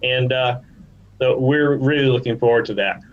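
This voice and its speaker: A man's voice: medium pitch, normal speed. Man in a dull-sounding podcast interview. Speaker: podcast guest, trade association chief executive. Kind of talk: conversational interview talk.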